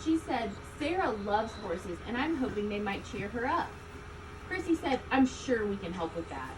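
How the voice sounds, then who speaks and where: A woman reading a children's story aloud, expressively, with deliberate emphasis on key words. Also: a little high, big pitch swings. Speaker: storybook reader. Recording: distant mic, loud background ambient noise.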